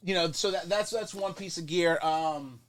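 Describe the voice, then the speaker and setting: A man's voice: on the high side, moderate pace, fairly bright tone, a little hiss or hum. A man from New Orleans chatting casually as an interview guest, a recording engineer who rambles with enthusiasm and swears freely. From New Orleans, Louisiana.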